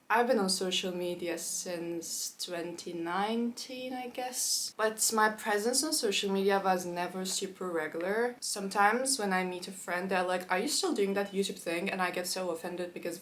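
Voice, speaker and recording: A woman of twenty-one from Turkey, a native Turkish speaker talking casually to camera with quick asides and frequent fillers. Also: mid-range voice, slight background noise. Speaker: YouTuber.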